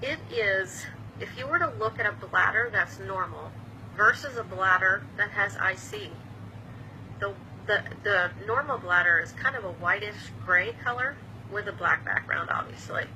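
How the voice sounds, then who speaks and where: A woman's voice: mid-range voice, moderate pace, bright, forceful tone, slight background noise, poor audio. A woman in her fifties, a patient advocate, explaining an illness to camera in a plain, patient way with small restarts. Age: 50s. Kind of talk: earnest explanatory talk to camera.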